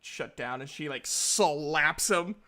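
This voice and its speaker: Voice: medium pitch, takes it slowly, bright, forceful tone. A speaker improvising tabletop game narration and chatting casually, with a boisterous streak and some swearing.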